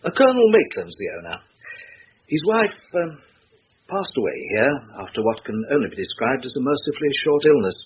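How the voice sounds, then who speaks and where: An actor playing a fussy, deferential English house agent in a radio drama, hesitant and over-polite, on an old recording. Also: male voice, on the high side, very animated, brisk, lo-fi sound, a little hiss or hum. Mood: discreet, hesitant.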